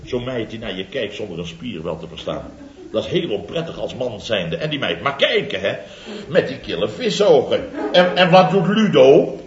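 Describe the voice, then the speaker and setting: An actor playing a boastful middle-aged contractor, telling a comic story in a theatrical, chatty way for a 1970s radio comedy serial. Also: male voice, medium pitch, very animated, moderate pace, reverberant, highs cut off, close to an everyday voice. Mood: mocking.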